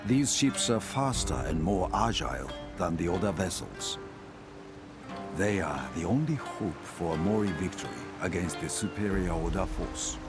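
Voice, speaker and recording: A man narrating samurai wars for a video game cutscene as a grave chronicler, in measured, dramatic storytelling. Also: deep voice, expressive. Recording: music under the narration. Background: cinematic music.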